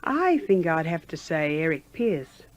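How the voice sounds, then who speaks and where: An Australian woman in her sixties reminiscing in a chatty, halting conversational way, as a former radio announcer being interviewed. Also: low voice, very animated, dull audio. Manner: chatty, halting, with frequent "you know".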